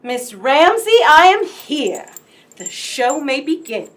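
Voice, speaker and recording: A woman's voice: high-pitched, sing-song, takes it slowly, very noisy, exaggerated voice. Woman as an imperious, swooping screen diva. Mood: grand, imperious. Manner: haughty, affected. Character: grand, self-centred female screen star, imperious diva. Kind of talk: radio play comedy acting.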